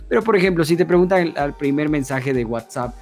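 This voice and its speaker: A man's voice: on the high side, expressive, fairly quick, darkish tone, faint music behind. A man with an Ecuadorian accent giving an informal sales lesson with role-played examples, often checking in with short tag questions. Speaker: sales and marketing trainer.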